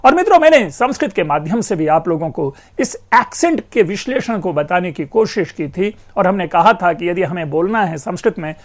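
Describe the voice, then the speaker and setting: A man's voice: sits high, lively intonation, normal speed, hardly any pauses. A man lecturing on linguistics in an explanatory classroom manner, addressing his listeners as friends and stressing words to show meaning. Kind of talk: educational lecture.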